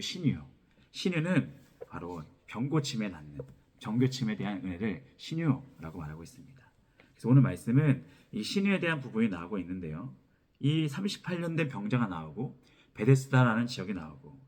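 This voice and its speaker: A man's voice: lowish voice, darkish tone, breathy edge. A man preaching an expository sermon as a pastor, repeating phrases as he explains, his throat sore from a cold. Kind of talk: expository sermon with scripture reading.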